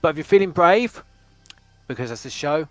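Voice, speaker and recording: A man hosting a quiz show with measured, formal delivery that sometimes restarts its phrases. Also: on the high side, expressive, dry recording.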